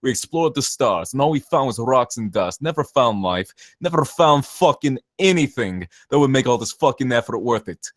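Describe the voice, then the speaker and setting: A man's voice: mid-range voice, lively intonation, moderate pace, hardly any pauses, no room sound, clean studio sound, close to an everyday voice. A man at a screenplay table read playing a brash, cynical saboteur who talks in emphatic, profane slang. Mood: bitter, impassioned. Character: cynical, brash zealot saboteur posing as safety inspector.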